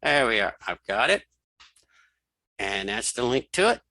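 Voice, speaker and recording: A man teaches software in a casual, think-aloud way. His mid-pitched voice is bright and edged with fry, recorded very dry. He explains each small step informally as he goes. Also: big pitch swings, unhurried, dry recording. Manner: informal, talks through each keypress. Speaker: screen reader tutorial instructor.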